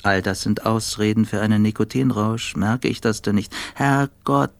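An actor playing a self-doubting, bitterly cynical journalist in a radio play monologue, husky and breathy, often dropping toward a whisper. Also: male voice, on the low side, normal speed, no room sound. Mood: self-tormenting.

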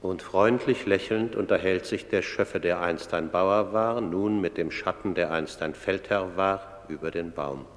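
A man's voice: low-pitched, measured pace, few pauses. A man narrating a radio drama about a tribunal of the dead, grave and solemn. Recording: long hollow reverb.

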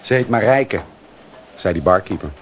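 Actor doing grumbly cop in a radio play, lowish voice, dry sarcasm, slight background noise. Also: male voice, animated, normal speed, close to an everyday voice. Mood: dry, matter-of-fact. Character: grumbling, joking male police detective, a father. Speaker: police detective. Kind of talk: naturalistic Dutch radio drama acting.

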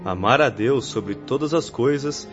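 An actor in a radio drama playing an earnest young spirit missionary who teaches patiently in a formal, solemn way. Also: male voice, mid-range voice, a little slow, close to an everyday voice. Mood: solemn, instructive. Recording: muffled lo-fi radio drama recording, faint music.

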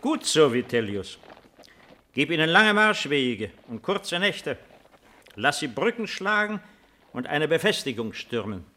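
An actor playing a weary, melancholy old Roman emperor in a solemn, measured 1950s radio drama style. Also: male voice, fairly high pitch, very animated, bright, forceful tone, full character voice. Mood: commanding. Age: older.

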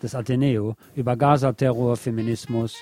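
A man hosting a late-night radio show, delivering a literary scripted monologue in a deliberate, poetic storytelling way. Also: medium pitch, fairly even intonation, dark tone. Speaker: radio host. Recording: music playing under the voice. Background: music.

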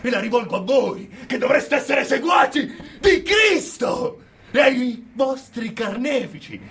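A high-voiced, defiant condemned prisoner. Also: male voice, creaky, slight background noise, full character voice. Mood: furious, accusing. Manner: declamatory, scornful, swinging from hushed narration to shouting. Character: defiant condemned male prisoner, bitter, mocking his jailers. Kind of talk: theatrical monologue, radio play.

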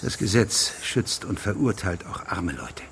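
A stern male judge in a radio drama, with a fairly low voice. He questions formally and insistently, like a man used to being obeyed, over clearly audible background noise. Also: big pitch swings, unhurried, exaggerated voice. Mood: probing. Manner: formal, authoritative interrogation. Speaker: actor in a radio play. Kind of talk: German radio drama dialogue.

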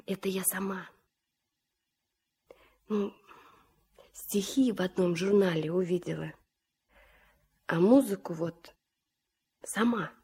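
An actress playing a lively, teasing young village girl, a student who plays accordion, in a 1970s Soviet radio drama. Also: medium pitch, breathy edge, heavily acted. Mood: shy, confiding. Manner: teasing, bold, chatty questioning. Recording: 1970s mono radio play recording.

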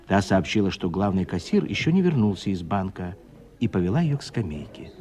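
A man narrating a literary radio play with expressive, scripted storytelling. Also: low-pitched, a little fast.

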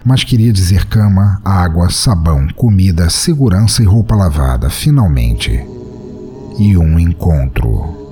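Man, Brazilian accent, fiction narration, lively varied intonation, ironic, soft music behind. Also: low-pitched, unhurried. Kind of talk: dramatic scripted fiction narration.

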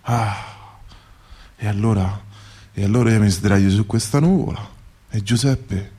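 A man's voice: lowish voice, measured pace, warm tone, breathy edge. A humble bricklayer played by a male actor tells his dream in a radio play. He speaks in salty, colloquial phrases, repeating words with earthy wonder. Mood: proud, moved. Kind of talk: theatrical radio monologue, colloquial storytelling.

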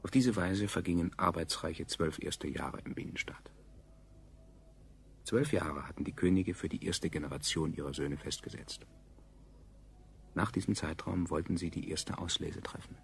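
An actor playing a grave chronicler, narrating a radio play in a measured, faintly ironic way. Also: male voice, deep voice, vocal fry, a little hiss or hum. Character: grave male chronicler, a survivor recalling the state.

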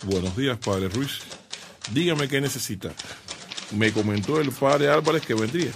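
An actor playing a bold, businesslike newspaper director in a radio drama, speaking briskly. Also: male voice, medium pitch, creaky, noisy background, close to an everyday voice.

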